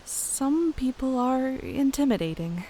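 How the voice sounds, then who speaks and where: A woman's voice: high voice, lively intonation, takes it slowly, warm tone, poor audio. Curious young druid princess played by a woman, hissy audio. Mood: earnest, curious. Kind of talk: scripted fantasy audio drama acting. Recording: clear background hiss.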